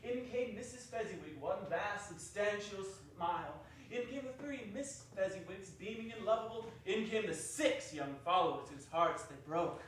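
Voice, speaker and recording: Theatrical male storyteller, unusually high pitch, emphatic, heard through hall echo and faint noise. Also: lively intonation, moderate pace, few pauses, a bit bright. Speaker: narrator. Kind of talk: theatrical scripted narration. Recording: noisy echoey hall from far off.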